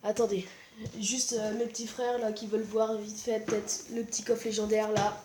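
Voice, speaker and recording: Congested gamer commentating live. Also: female voice, mid-range voice, flat delivery, normal speed, background noise. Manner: exclamatory, repeats short interjections. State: congested with a cold. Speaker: gaming channel host. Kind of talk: live excited gameplay commentary.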